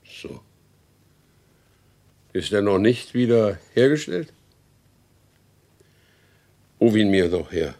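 A man's voice: fairly low pitch, big pitch swings, takes it slowly, long pauses, mellow, full character voice. An actor playing a proud, wronged horse dealer in a German radio drama, speaking formally and emphatically as he insists on his rights. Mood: stern questioning.

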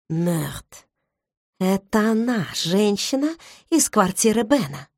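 A woman reading a novel aloud in the first person, measured and intimate, like inner monologue. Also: mid-range voice, darkish tone, no echo. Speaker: audiobook narrator. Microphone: close studio mic. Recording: dry studio recording.

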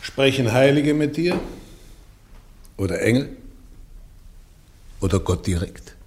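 A church inquisitor in a radio drama. His voice sits at medium pitch, and his questioning is measured, pointed and insistent. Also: very animated, darkish tone, a little hiss or hum. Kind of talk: German radio play acting.

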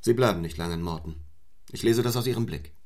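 A man acts a stern young parson for a radio drama, his voice very low. He speaks formally and deliberately, questioning and commanding with restless, unbending ambition. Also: natural voice. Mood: earnest, intense. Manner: measured, formal, probing, commanding. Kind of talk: German radio play acting.

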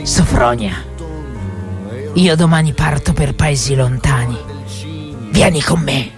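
Woman acting hoarse, theatrical old rogue cook. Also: sits low, takes it slowly. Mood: seductive. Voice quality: hoarse, cavernous. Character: Rasputin, roguish old male cook, cavernous-voiced, passionate. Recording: music behind the voice.

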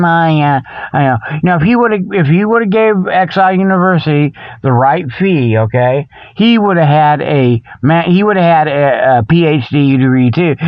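A man with a slightly high-pitched voice delivers a rambling, comic solo monologue. His talk is folksy and full of mock exasperation, halting and circling back over phrases. The sound is dry and a little dull, like a lo-fi recording. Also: dry recording. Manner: halting, often repeats phrases while thinking aloud. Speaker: host of a comic Bigfoot show.

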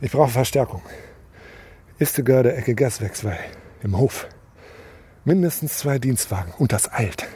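An actor playing a brusque, impatient police detective in a radio crime drama, curt and dry. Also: male voice, medium pitch, animated, measured pace, long pauses, dark tone, lightly acted. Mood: brusque, urgent. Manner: curt, matter-of-fact.

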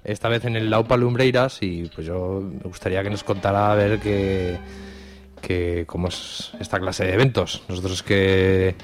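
Low-voiced man from Spain over music. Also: fairly even intonation, normal speed. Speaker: radio show co-host. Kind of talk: casual live radio chat. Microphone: studio radio microphone.

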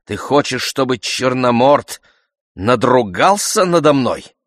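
A man's voice: mid-range voice, lively intonation, slow pace, dry recording, studio quality, pitched above the performer's own voice. Man acting an anguished, pleading young woman. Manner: quiet, pleading, hesitant. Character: anguished young woman, fearful but determined. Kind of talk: audiobook character voice.

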